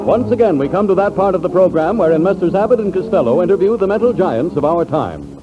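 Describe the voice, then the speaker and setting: A male announcer in formal vintage radio style, with a high, animated voice and grand, polished phrasing. Loud music plays behind him on a dull old recording. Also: fast talker.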